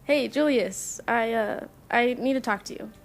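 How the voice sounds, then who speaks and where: A woman's voice: a little high, unhurried. An actress playing an earnest, nervous young woman in an audio drama, speaking hesitantly.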